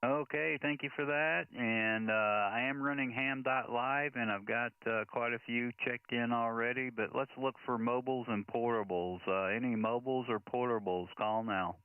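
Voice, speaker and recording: An American man working as net control operator on a ham radio, making deliberate, orderly announcements and spelling in phonetic letters. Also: mid-range voice, fairly even intonation. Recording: narrow tinny radio-band sound, faint hiss.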